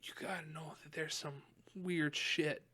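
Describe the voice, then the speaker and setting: A woman's voice: sits low, fairly even intonation, measured pace, sharp tone, husky. A young woman co-hosting a podcast in casual chat, giggly, often second-guessing herself as she talks. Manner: giggly, self-questioning banter. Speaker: podcast co-host.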